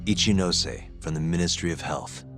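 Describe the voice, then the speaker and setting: An actor playing a stern, controlled interrogator in a video game, terse and probing. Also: male voice, sits low, moderate pace, close to an everyday voice. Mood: grave, controlled. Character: stern, controlled male interrogator pressing for truth. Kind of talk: video game cutscene acting. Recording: music under dialogue. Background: clear music.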